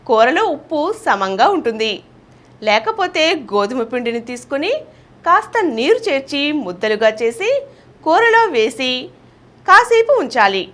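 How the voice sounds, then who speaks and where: A woman's voice: sits high, big pitch swings, normal speed, fairly bright tone. A woman presenting household and beauty tips in scripted narration, speaking to viewers in a friendly way with rhetorical questions.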